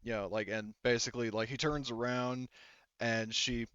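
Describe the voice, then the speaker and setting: A man's voice: medium pitch, fairly even intonation, moderate pace, a bit bright, smooth, no room sound, muffled recording. A man narrating a tabletop roleplaying game as game master in a casual, rambling way that often cuts itself off.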